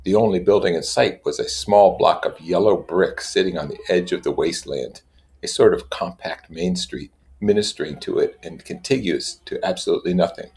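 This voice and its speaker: Very deep, gravelly male reader. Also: darkish tone. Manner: measured reading with informal asides. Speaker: reader and commentator. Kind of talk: literary read-aloud with casual explanatory comments.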